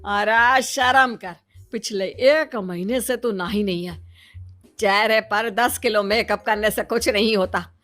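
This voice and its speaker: A woman's voice: mid-range voice, a little slow, fairly bright tone, soft background music, natural voice. A woman voicing a nagging, exasperated middle-aged mother in a dramatized moral story. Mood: scolding. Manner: scolding, nagging.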